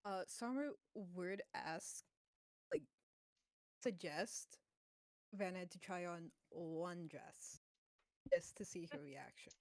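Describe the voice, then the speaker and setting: A woman's voice: on the low side, takes it slowly, pauses often, no room sound, studio quality. A woman chatting casually as a player in a tabletop roleplaying game.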